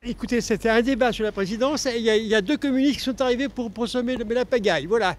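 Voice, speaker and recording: A man's voice: very high voice, racing. A man interviewed at an outdoor market, indignant and stumbling over his words, speaking into a reporter's mic. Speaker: right-wing voter interviewed at a market.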